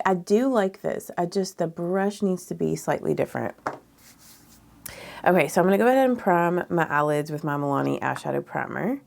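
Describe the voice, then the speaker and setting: A woman in her thirties with a froggy, raspy voice, chatting candidly and ramblingly as a beauty vlogger doing a makeup tutorial indoors. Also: fairly low pitch, darkish tone. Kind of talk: casual chat during makeup tutorial. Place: small furnished room indoors.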